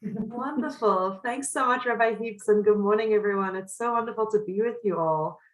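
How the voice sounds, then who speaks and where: A woman's voice: mid-range voice, normal speed, roomy sound, low-quality recording. A woman from South Africa with a Cape Town accent, leading an educational workshop in an upbeat, welcoming way. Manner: upbeat, welcoming, frequent ums. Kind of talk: friendly presentation over video call.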